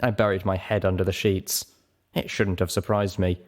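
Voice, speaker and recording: A man's voice: deep voice, little pitch movement, moderate pace. A man narrating a story in the first person as a dramatic scripted reading. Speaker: story reader.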